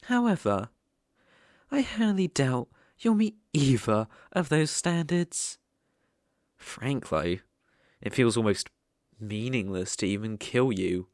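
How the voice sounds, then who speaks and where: Performer as haughty British demon, ASMR roleplay: sadistic, mocking, unhurried, very animated pitch. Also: no echo, studio quality. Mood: sinister, condescending. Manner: mocking, condescending, theatrical, drawn-out delivery. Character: sadistic, haughty demon lord sneering at human sacrifices.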